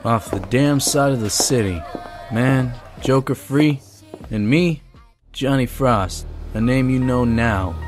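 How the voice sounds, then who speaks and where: A man's voice: mid-range voice, takes it slowly, music in the background, natural voice. A man voicing an ambitious, cocky henchman who narrates a motion comic in hardboiled first person.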